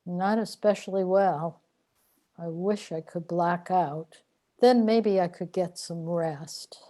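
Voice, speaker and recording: A weary woman trapped in a cosmic limbo, played by an actress for a sci-fi radio drama. Her voice sits fairly low and moves very slowly, with a plaintive, complaining edge. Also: dark tone. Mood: weary, fed up.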